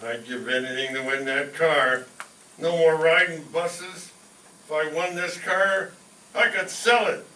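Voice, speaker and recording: An actor playing a blundering, excitable, scheming working-class family man in a 1940s-style radio sitcom. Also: on the high side, measured pace. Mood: excited. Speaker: amateur performer in a staged radio show. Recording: echoey room, distant mic, faint noise.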